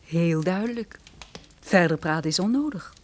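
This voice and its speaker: Een vrouw die in een hoorspel een koele, amorele bendeleidster speelt, verleidelijk en hard. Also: female voice, on the low side, animated, moderate pace, slight background noise, natural voice. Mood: cool, seductive. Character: cool, amoral woman, secret leader of a drug gang. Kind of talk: radio drama acting.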